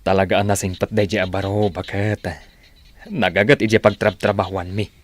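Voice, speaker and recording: A man's voice: on the low side, fairly even intonation, normal speed, natural voice. A man playing a plain-spoken rural husband and father in a radio drama, with an Ilocano Filipino accent. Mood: conversational.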